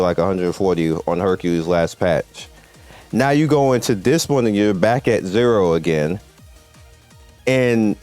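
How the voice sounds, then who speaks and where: A man's voice: medium pitch, a little mellow. A man hosting a gaming video, giving casual, emphatic commentary and repeating his points as he addresses viewers. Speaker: host of a mobile game video channel. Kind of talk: casual gameplay commentary, talking to viewers and developers. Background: music.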